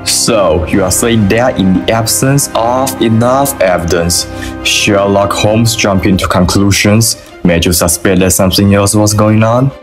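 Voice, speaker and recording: A man playing a shrewd, self-assured gentleman thief who investigates with knowing questions, in an amateur radio drama. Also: fairly low pitch, a little slow, lightly acted. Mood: shrewd, probing. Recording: faint music behind the voice.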